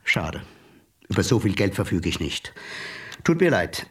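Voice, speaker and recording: An actor playing a cool, mocking male blackmailer in a radio play, smooth and unhurried. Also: deep voice, sing-song, distant mic. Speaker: blackmailing hacker visitor. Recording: voice set back from mic, music behind.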